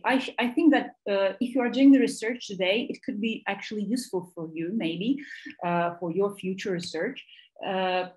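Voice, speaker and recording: A woman from Russia with a Russian accent, a native Russian speaker, giving an academic presentation in a halting way, often searching for words and repeating them. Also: medium pitch, darkish tone, low-quality recording. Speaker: academic researcher and lecturer.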